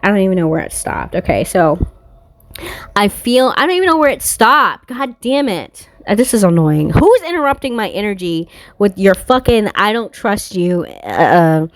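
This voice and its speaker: A woman's voice: mid-range voice, sing-song, normal speed. A woman giving a casual spiritual card reading in a blunt, confrontational manner, swearing freely. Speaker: tarot card reader and spiritual content creator.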